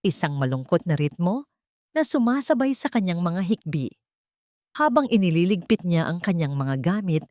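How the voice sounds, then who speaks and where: A woman narrates an audio drama in a fairly low voice. Her delivery is scripted, solemn and melodramatic. The recording is very dry, with little room sound. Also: darkish tone, no room sound.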